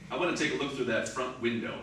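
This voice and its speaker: A man's voice: medium pitch, fast talker, a bit bright, close to an everyday voice. Man acting a commanding spaceship captain, echoey and distant. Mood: bewildered. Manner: barked orders, stammering when baffled. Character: commanding, sceptical male spaceship captain, tense and bewildered. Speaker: actor in a radio play. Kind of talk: old-time sci-fi radio drama acting. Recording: roomy, distant, clearly noisy recording.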